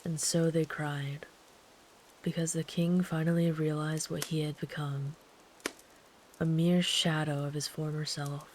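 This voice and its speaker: A woman plays a kind traveler soothing someone to sleep in a bedtime ASMR roleplay. The voice is gentle and protective, often sinking into a whisper, with slow pacing and a very even, narrow pitch. A faint hiss sits under the recording. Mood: hushed, storytelling. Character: kind, protective traveler comforting a runaway by a campfire.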